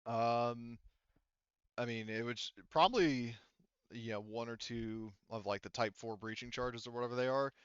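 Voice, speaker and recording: A man speaking casually as a game master, explaining things in a rambling, halting way with some swearing. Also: medium pitch, smooth, no echo, lo-fi sound. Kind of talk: casual improvised explanation of tabletop game rules and lore.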